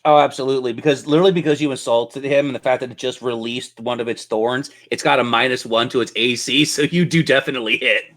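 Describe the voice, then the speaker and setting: A man with a bright, energetic edge to his voice acts as game master. He narrates a tabletop fantasy game in vivid, playful detail, at a middling pitch. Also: fast, hardly any pauses.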